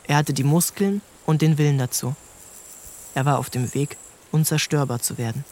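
A man narrating a literary audiobook in a calm, measured storytelling voice. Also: fairly high pitch.

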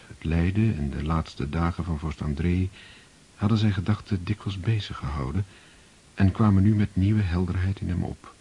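A man narrating a radio drama in a slow, grave, measured way. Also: low-pitched, warm tone, slightly rough.

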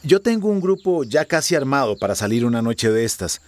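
An actor playing a cocky, corrupt small-town policeman in a radio drama, with a swaggering, smooth-talking delivery. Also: medium pitch, big pitch swings, a little fast, slight background noise, natural voice. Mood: persuasive.

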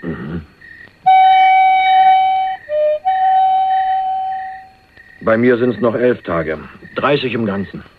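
An actor playing a cool, evasive young heir sitting in jail in a German radio crime drama, laconic and dryly ironic. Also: male voice, fairly low pitch, animated, measured pace. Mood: casual, dry. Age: young adult. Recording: dull lo-fi radio recording, faint noise.

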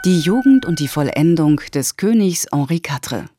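A woman announcing the credits of a radio series in a formal, unhurried manner. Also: low-pitched, very animated, over music. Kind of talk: reading credits.

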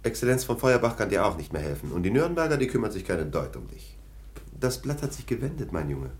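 A domineering police lieutenant in a radio play. The voice is very low and husky and moves in wide, lively swings, full of sardonic, dismissive scorn. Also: male voice, quick pace. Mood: threatening, scornful. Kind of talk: German radio play acting.

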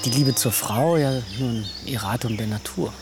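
An actor in a German radio play playing an exuberant, self-assured nature devotee with a florid, declamatory, self-satisfied manner. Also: male voice, mid-range voice, moderate pace, very noisy, close to an everyday voice. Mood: impassioned, lecturing. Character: exuberant, self-assured male nature-cult devotee confessing his passions.

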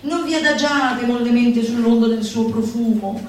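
A woman's voice: a little high, normal speed, darkish tone. A woman stage performer giving a theatrical recitation of a satirical manifesto, declamatory and exhorting with mock-solemn emphasis. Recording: very echoey room, distant mic, faint noise.

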